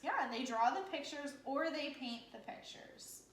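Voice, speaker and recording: A woman's voice: high voice, expressive, measured pace. A woman teacher reading a picture book aloud interactively, speaking in simple phrases for children and asking prompting questions. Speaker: elementary teacher. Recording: echoey room with background noise.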